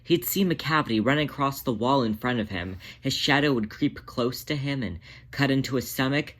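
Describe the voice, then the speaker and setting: A man's voice: medium pitch, animated, moderate pace, a bit bright. A man hosting a fan fiction reading, narrating a scripted story aloud. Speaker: fan fiction author and podfic reader, host.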